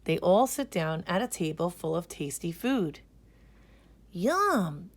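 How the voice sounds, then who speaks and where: A woman reading a children's picture book aloud as narrator, in a deliberate, expressive way. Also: fairly low pitch, dry recording. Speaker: storybook reader.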